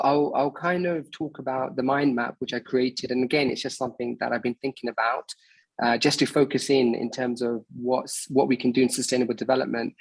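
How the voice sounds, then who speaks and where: A man's voice: medium pitch, no room sound, low-quality recording. A man in his early thirties, from Bangladesh and raised in England, hosting an online meeting in a casual, hesitant way with many fillers and restarts. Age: 30s. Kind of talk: casual presentation on a video call.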